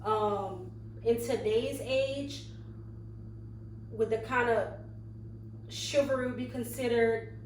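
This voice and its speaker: Thirty-year-old woman, slow and thoughtful. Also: on the high side. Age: 30s. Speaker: podcast co-host. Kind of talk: casual chat. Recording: echoey room, mic at a distance, faint hum.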